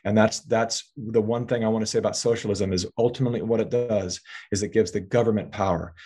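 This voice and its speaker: A man's voice: on the low side, slightly rough. A man from California, a filmmaker guest in a political interview, talking earnestly at length and often restarting his words.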